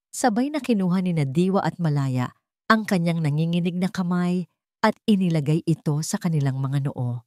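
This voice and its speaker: A woman reading a scripted dramatic story as narrator, with measured, deliberate phrasing. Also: low voice, a little mellow, no room sound, studio quality.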